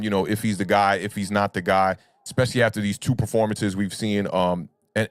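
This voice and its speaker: A young adult man with a deep baritone voice, under the weather, hosting a casual sports livestream and chatting with fans. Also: dry recording. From United States. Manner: laid-back, repeats "man" and "y'all know what it is". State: under the weather, deeper voice than usual. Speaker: sports talk livestream host.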